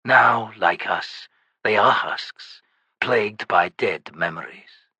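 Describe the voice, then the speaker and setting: A man voicing an ancient, sorrowful undead male shaman in an audiobook, speaking slowly and gravely. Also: lowish voice, sharp tone, dry recording, full character voice. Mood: solemn sorrowful. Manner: slow, solemn, measured.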